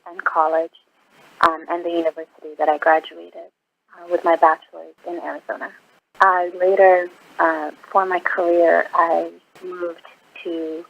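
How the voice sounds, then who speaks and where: A woman in her twenties, from Iraq, speaks earnestly with frequent pauses and ums. Her voice is gravelly and stays flat in pitch. The sound is dull and lo-fi, like a phone line. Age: 20s. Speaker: interview guest, nurse. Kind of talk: phone interview.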